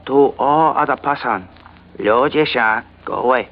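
An actor playing a guarded Chinese shopkeeper with a put-on Chinese accent, speaking terse, halting pidgin in a 1940s radio drama. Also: male voice, medium pitch. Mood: quietly confiding. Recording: muffled vintage radio recording.

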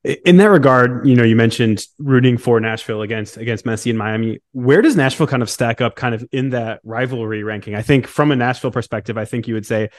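A man's voice: medium pitch, fast talker, hardly any pauses. A man hosting a casual sports conversation and putting questions to a guest. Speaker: sports show host, interviewer.

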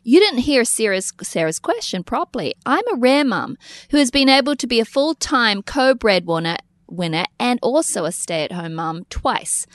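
A woman hosting a podcast in casual chat, animated and laughing as she reads a listener letter aloud. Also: fairly high pitch, normal speed, dry recording. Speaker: podcast host.

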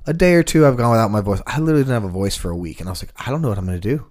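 A man's voice: fairly low pitch, very fast. A man chatting casually about his band, telling stories with energy and acting out what people said. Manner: animated storyteller, acts out quotes. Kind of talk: casual chat.

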